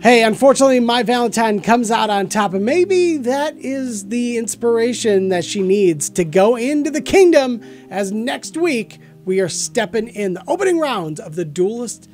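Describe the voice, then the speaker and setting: A man's voice: very high voice, animated, moderate pace, few pauses, darkish tone. Give the voice of a middle-aged American man hosting a card game series. He talks casually through each play into a close desk mic, with music playing behind him. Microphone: close desk microphone.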